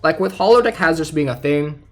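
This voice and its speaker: Higher-voiced young man, casual gaming commentary. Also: a little fast. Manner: informal, hesitant, thinking out loud. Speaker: gaming YouTuber. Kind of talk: casual game news commentary, partly reading aloud. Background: music.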